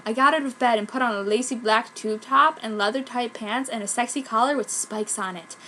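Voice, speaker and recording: Female amateur fiction reader, high and bright, theatrical narration, slightly noisy home recording. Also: a little fast. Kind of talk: dramatic reading of fan fiction.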